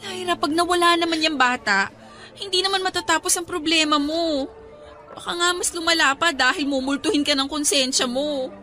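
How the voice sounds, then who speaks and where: A woman's voice: high voice, fairly quick, sharp tone, faint music behind. An actress playing a loyal, morally firm young woman best friend in a Tagalog radio drama, emphatic and pleading. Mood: pleading, urgent.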